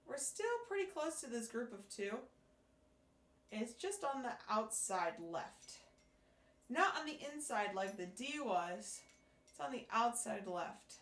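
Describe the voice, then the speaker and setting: A woman teaching a beginner percussion lesson, patiently explaining step by step while hoarse from losing her voice. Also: on the high side, a bit bright, a little hiss or hum. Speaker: music teacher. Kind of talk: instructional demonstration. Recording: echoey room.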